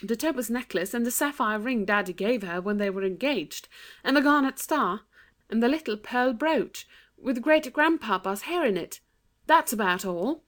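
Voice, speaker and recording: A woman's voice: fairly high pitch, expressive, normal speed, fairly bright tone. A woman voicing a sensible, cautious girl who speaks earnestly. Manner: earnest, warning.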